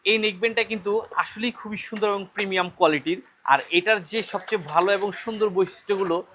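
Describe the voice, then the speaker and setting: A man with a Bangladeshi accent and a notably high-pitched voice presents a product for an online shop. He delivers an informal sales pitch, explaining features and often restating them in other words. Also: fast, a bit bright, slight background noise.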